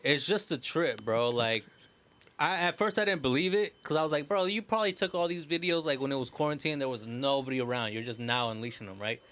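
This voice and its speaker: A man co-hosting a casual podcast, telling stories excitedly in slangy, profane language full of "bro" and "like". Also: medium pitch, a little fast. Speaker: podcast co-host.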